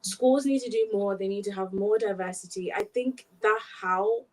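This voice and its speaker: A woman's voice: medium pitch, moderate pace. Distant young woman, thoughtful panel talk. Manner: reflective, hesitant, says sorry when cutting in. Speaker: panel guest. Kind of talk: conversational panel discussion. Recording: somewhat distant from the mic.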